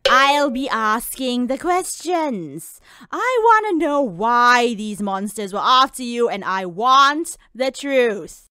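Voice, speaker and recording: A woman voicing a feisty, no-nonsense old grandmother in a comic dub, brisk, bossy and folksy. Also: fairly high pitch, very animated, pushed and bright, clear, resonant tone, dry recording, studio quality, heavily acted. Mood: stern. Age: older. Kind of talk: fan comic dub voice acting. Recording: music behind the voice.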